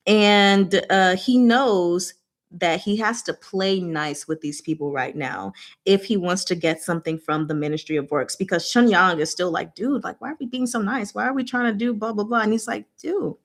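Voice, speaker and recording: A woman's voice: medium pitch, lively intonation, moderate pace. Playful woman in casual, chatty recap. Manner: playful, slangy, acts out characters' lines in casual speech. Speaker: host of a book discussion.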